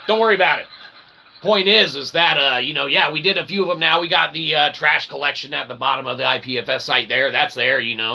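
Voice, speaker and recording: A man with a fairly high, gravelly voice hosts a rambling comedy livestream. He plays the showman with self-deprecating patter and punctuates it with little questioning tags. The recording has clear background noise. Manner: mock-showman, self-deprecating, refers to himself as "we"; repeats tag phrases like "okay?" and "eh?". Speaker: livestream host.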